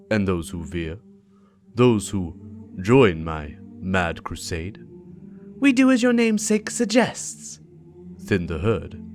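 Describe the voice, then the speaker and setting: Man performing dark game conspirator, grave, deliberate, theatrical, highly varied intonation, music underneath. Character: two grave conspirators, a cold schemer and a doubting recruit. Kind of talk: dramatic reading of video game dialogue.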